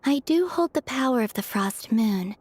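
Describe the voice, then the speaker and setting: Serene, lightly high moon goddess played by a woman. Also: lively intonation, moderate pace, a little mellow, a little hiss or hum, close to an everyday voice. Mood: serene, soft. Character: gentle, serene young moon goddess in a fantasy game.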